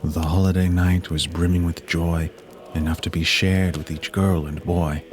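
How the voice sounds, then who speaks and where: A man narrating a rhymed Christmas story in verse with a measured, solemn delivery. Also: low voice, level tone, slight background noise. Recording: music bed under the voice. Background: music.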